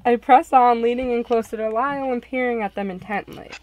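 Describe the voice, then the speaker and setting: A woman's voice: on the high side, brisk, darkish tone, natural voice, rough recording. A woman voicing the earnest first-person narrator of a visual novel, reading expressively.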